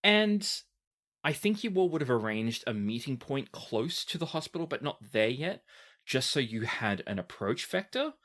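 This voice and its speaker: A man with a medium-pitched voice runs a tabletop roleplaying game. He narrates scenes and explains rules in a deliberate way, as a game master does. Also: sing-song, fairly bright tone.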